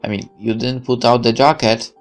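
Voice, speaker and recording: A man's voice: medium pitch, fairly even intonation, moderate pace, soft background music. A man reading a visual novel aloud as he plays, with wry asides. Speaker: game player.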